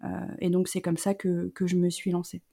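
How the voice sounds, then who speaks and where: A woman in her thirties from the Rhône-Alpes region, telling her personal story as an interview guest in a reflective way, often pausing with "euh". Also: fairly low pitch, completely flat delivery, mellow. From Rhône-Alpes, France. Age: 30s. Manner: reflective, many hesitant "euh" fillers.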